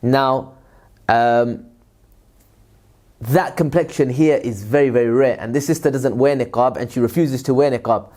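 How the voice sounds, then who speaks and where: A man's voice: mid-range voice, measured pace, long pauses, a little mellow. A man who works in aid, answering viewers' questions in a casual vlog, with a loose, rambling delivery full of fillers and religious phrases. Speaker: aid worker and vlogger.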